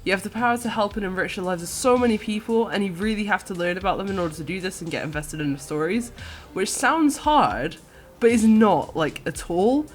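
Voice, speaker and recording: A woman's voice: medium pitch, lively intonation, fairly quick. A woman giving an enthusiastic, earnest personal video game review, partly scripted and partly conversational. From countryside. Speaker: podcast guest reviewer. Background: music.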